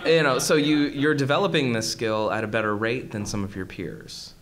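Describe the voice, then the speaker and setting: A man speaking in a measured way, with many pauses, as a psychologist on a convention panel in a hotel conference room. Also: mid-range voice, steady tone, fairly bright tone, a little hiss or hum.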